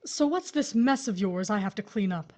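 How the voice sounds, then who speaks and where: A woman's voice: medium pitch, lively intonation, normal speed, a little mellow, natural voice. A woman playing an exasperated, no-nonsense widow in an audio horror drama, blunt and skeptical.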